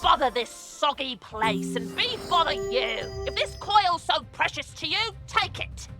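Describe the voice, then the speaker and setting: A woman voicing a spiteful, grumbling ghost in a fantasy game, petulant and creaky. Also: sits high, sing-song, unhurried, over music. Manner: petulant, exclamatory.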